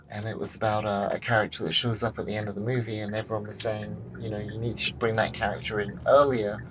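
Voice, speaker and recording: A man's voice: fairly low pitch, noisy background. A man chatting casually and enthusiastically as a vlog host, often repeating himself. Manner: upbeat, repetitive, enthusiastic. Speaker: host, film director. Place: out and about after packing up car.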